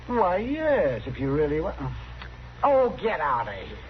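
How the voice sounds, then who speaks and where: An actor playing a crotchety but soft-hearted town doctor in a 1950s radio western. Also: male voice, fairly high pitch, huge pitch swings, unhurried, over loud music. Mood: subdued, gruff. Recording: muffled, noisy old radio recording.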